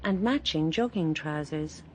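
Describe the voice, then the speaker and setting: A woman narrating a radio drama in a calm, measured, slightly wry voice. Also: deep voice, a little hiss or hum, low-quality recording.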